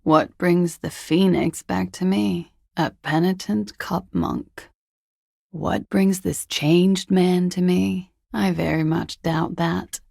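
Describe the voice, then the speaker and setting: Husky, creaky, world-weary young expatriate. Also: female voice, lowish voice, fairly even intonation, takes it slowly, a little mellow, no room sound, exaggerated voice. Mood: wry, teasing. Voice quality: husky, gravelly. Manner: dry, languid, ironic. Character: cool, world-weary young expatriate woman, wryly teasing. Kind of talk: video game character dialogue.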